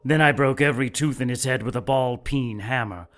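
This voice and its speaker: A man's voice: mid-range voice, fairly bright tone, lightly acted. A man playing a cold, menacing cult master. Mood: coldly menacing. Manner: measured, threatening, formal. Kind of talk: audio drama acting.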